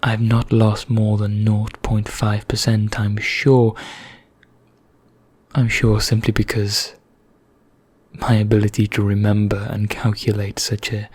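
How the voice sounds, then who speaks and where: A man playing a vain, condescending vampire in an ASMR roleplay, speaking formally with measured pauses in a husky, breathy voice that nears a whisper. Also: on the low side, completely flat delivery, a little mellow, no echo. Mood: condescending, explanatory. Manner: slow, measured, formal, pompous, with deliberate pauses. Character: vain, condescending male vampire elder, calmly sinister.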